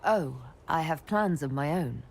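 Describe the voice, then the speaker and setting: A woman's voice: deep voice, expressive, unhurried, creaky, a little mellow, heavily acted. An actress playing a hopeful, heroic female leader in a fantasy video game, assured and plain-spoken. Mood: bold. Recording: hall reverb, faint noise.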